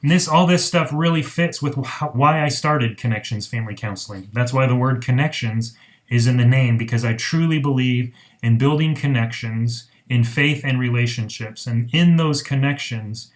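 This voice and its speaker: Earnest male counselor, mid-pitched voice. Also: moderate pace. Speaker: marriage counselor. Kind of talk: informal explainer talk to camera.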